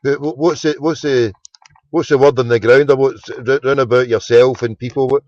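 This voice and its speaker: A man's voice: medium pitch, steady tone, moderate pace, darkish tone, muffled recording. A Scottish man hosting a casual phone-in, chatty and sweary, often repeating his words. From Scotland. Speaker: phone-in host.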